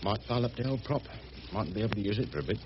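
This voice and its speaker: A dull radio drama recording with clear noise behind it carries a man acting a rough, good-hearted boatman. He has a very low voice and a working-class English accent. His talk is colloquial and excitable, that of a plain working man. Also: very fast, close to an everyday voice. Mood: wry, worried.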